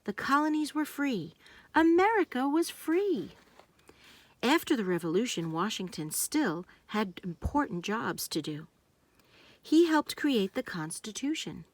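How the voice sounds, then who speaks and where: A woman narrating a children's storybook, reading aloud in simple, deliberate phrases meant for young listeners. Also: fairly high pitch, sing-song, dry recording.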